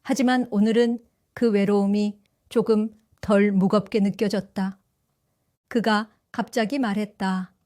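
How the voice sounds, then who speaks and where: A woman in her seventies narrating her own story for an audio drama, in a gentle, reflective and wistful way. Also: mid-range voice, fairly even intonation, measured pace, no room sound, studio quality. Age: 70s. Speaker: first-person narrator.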